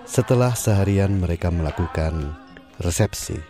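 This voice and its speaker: Deep-voiced male drama narrator. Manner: measured, storytelling. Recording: music underneath.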